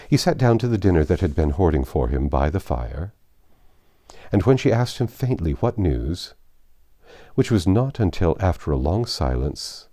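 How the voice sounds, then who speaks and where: A man reads a classic story as an audiobook narrator. His voice is low and mellow, with wide, dramatic swings of intonation and deliberate phrasing. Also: no echo, clean studio sound.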